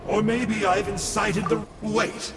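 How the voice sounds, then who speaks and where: Sly villain with echoing voice. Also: male voice, high voice, flat delivery, slow pace, heavy background noise. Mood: wry, sardonic. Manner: theatrical, wry, smooth-talking. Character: smug, sly, sardonic villain from a monstrous dark order. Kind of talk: video game voice acting.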